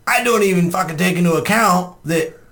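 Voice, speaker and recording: A man's voice: high voice, a little fast, fairly bright tone. A man hosting a casual group podcast, joking and ribbing his friends, with frequent swearing. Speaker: podcast host.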